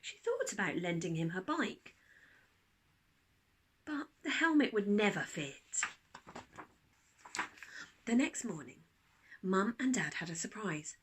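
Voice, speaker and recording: A woman narrating a children's storybook like a teacher reading aloud, expressive, with dramatic pauses. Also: mid-range voice, slight background noise.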